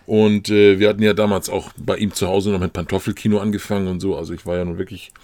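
Deep-voiced man reminiscing. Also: level tone, darkish tone, no room sound. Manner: reminiscing, drawn-out fillers. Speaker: podcast regular. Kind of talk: casual conversation.

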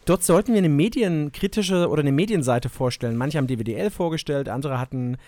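A man with a faint Saxon accent, a guest chatting casually on a podcast and often pausing with hesitation fillers. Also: fairly high pitch, no echo. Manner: relaxed, lots of hesitation fillers. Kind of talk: casual conversation.

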